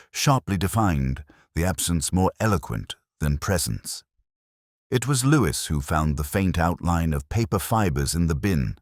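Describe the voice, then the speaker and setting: A man acts out a brooding detective telling his own mystery story in an extremely deep voice. The delivery is solemn and literary, with introspective weight and expressive swings in pitch. The sound is dry and intimate, without room echo. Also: exaggerated voice. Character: brooding, reflective British male police inspector narrating his case. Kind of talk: scripted literary mystery narration.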